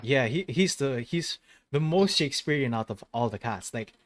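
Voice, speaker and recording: A man hosting a casual gaming stream, speaking at an ordinary middle pitch. He rambles through his thoughts and corrects himself mid-sentence. He laughs easily along the way. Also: animated, moderate pace, a little hiss or hum. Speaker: host of a gaming channel.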